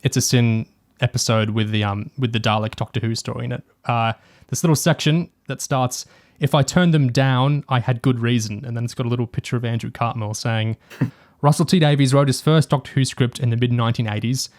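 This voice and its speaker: A man's voice: mid-range voice, a little fast. A man co-hosting a casual discussion and review show, talking in a discursive way with an interest in detail. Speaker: podcast co-host.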